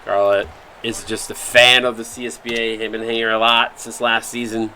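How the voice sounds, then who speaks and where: A man's voice: mid-range voice, level tone, a little fast, pushed and bright, a little hiss or hum, rough recording. A man calling a simulated basketball game on a live stream, upbeat and thanking viewers by name between plays. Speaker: play-by-play broadcaster for a simulated basketball league stream.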